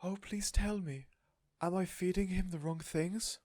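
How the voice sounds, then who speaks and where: A man's voice: sits high, unhurried, a little mellow, dry recording, pitched above the performer's own voice. A man voicing a sweet, gushing woman who dotes on her pet, in a storybook reading. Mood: pleading. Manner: gushing, effusive, exclaiming. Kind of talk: storybook character voice in audiobook reading.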